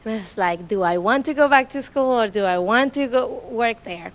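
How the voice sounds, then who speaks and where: A woman's voice: on the high side, fairly quick. A woman in her thirties from Colombia with a Colombian Spanish accent, a native Spanish speaker, giving an informal public talk and chatting through her story. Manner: chatty, storytelling, animated retellings. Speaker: nonprofit staff speaker.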